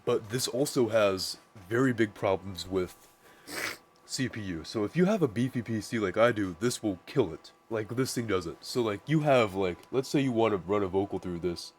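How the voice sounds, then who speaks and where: A man with a naturally deep voice, casually walking through his music production setup, rambling with lots of "like" and restarts. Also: animated, moderate pace, slight background noise. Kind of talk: casual screen-share tutorial.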